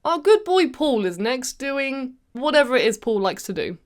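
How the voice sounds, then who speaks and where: High-pitched British woman, playful game commentary. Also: normal speed. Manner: animated, expressive, mildly sweary, playful exasperation. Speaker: video game reviewer and channel host. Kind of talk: chatty comedic commentary over game cutscenes. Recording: music behind the voice. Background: background music.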